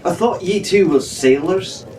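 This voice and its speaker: A man's voice: high voice, a little slow, a little mellow, voice pushed up. A man voicing a cross, mocking teenage sailor girl in a storybook reading, snappish and challenging. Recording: roomy, distant mic with faint noise.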